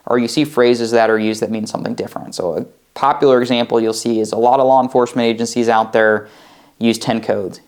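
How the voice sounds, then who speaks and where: Clear-voiced American man explaining practical tips. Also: medium pitch, darkish tone. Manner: methodical, explains with examples. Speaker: presenter of prepping advice videos. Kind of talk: informal instructional explainer.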